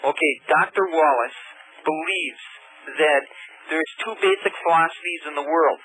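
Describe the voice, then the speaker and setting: A man calling a radio show by telephone, explaining his views in an earnest, halting, persistent way. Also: fairly high pitch, sharp tone. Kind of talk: live phone-in debate. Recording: faintly noisy radio phone-in call.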